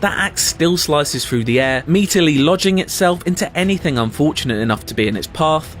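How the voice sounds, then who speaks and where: A man reading a scripted video game review as a voice-over, in a measured, articulate and wordy way. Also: a little high.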